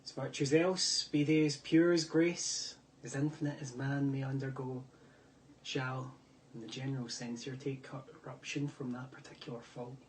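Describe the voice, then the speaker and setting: A young man performing classical theatre monologues in a declamatory style, swinging between loud outbursts and hushed whispers. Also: medium pitch, level tone, moderate pace. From Scotland. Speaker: drama student performing monologues. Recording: distant mic with faint room noise.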